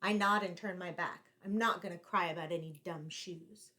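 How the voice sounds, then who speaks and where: A woman reading a picture book aloud to children in an expressive, deliberately phrased storytelling style, like a teacher. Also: fairly low pitch.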